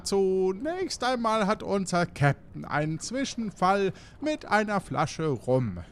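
A man's voice: a little high, vocal fry, pitched above the performer's own voice, heavily acted. A man playing a formal, overly polite pirate crew spokesman delivering an apologetic announcement in a comedy audio drama.

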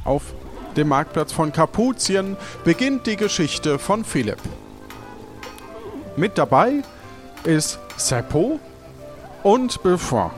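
A man's voice: on the high side, very animated, takes it slowly, pauses often, soft background music. A man narrating an improvised adventure audio play as a storyteller.

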